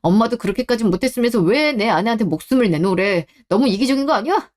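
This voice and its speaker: A young man voicing a protective husband in a radio drama, speaking in a low, firm and steady way. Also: quick pace. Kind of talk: Korean radio drama acting.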